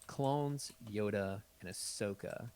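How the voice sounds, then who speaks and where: A man's voice: fairly low pitch, takes it slowly, a little mellow, a little hiss or hum. A man chatting casually as the host of a podcast. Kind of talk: casual live podcast chat.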